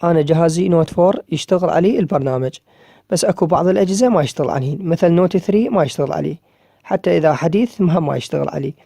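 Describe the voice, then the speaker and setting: Fast-talking Iraqi man explaining steps. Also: fairly high pitch, gravelly, warm tone, breathy edge, no echo, studio quality. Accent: Iraqi Arabic. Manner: repetitive, pointing out each tap with drawn-out "ah" fillers. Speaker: app tutorial maker. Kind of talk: step-by-step screen tutorial.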